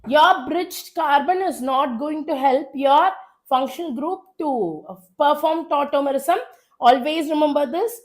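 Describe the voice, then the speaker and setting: A woman with a South Indian accent teaching a live online exam revision class, hoarse from a cold, urging students on and repeating phrases for emphasis. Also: sits high, measured pace. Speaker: chemistry teacher.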